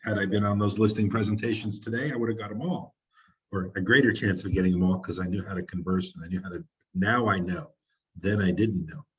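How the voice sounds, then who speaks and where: A man's voice: sits low, steady tone, fairly quick, slightly rough, lo-fi sound. A man leading a real estate training session, coaching new agents in an encouraging, rambling way. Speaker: real estate team leader running a training. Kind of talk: motivational group coaching.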